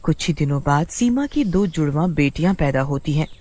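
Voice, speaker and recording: A woman's voice: low-pitched, expressive, moderate pace, dark tone. A woman with an Indian accent reading scripted, dramatic story narration.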